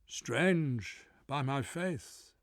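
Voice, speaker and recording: A man's voice: medium pitch, lively intonation, extremely slow, no echo, voice pushed up. Hearty old hermit for verse storytelling, acted by a man: pious, exclamatory, commanding, very dry close sound. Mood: wondering. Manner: exclamatory, archaic wondering speech.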